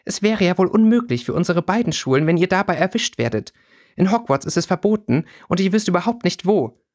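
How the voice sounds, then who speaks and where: A man's voice: a little high, very animated, a little fast, breathy edge, pitched above the performer's own voice, full character voice. A man voicing a haughty, coldly scornful teenage girl in a fantasy audiobook. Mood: scolding, cold. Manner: disdainful, cool.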